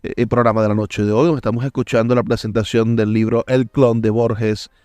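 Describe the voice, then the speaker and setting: Smooth-voiced male radio host. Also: medium pitch, little pitch movement, brisk, mellow, no echo. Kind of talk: radio presenting, promotional links.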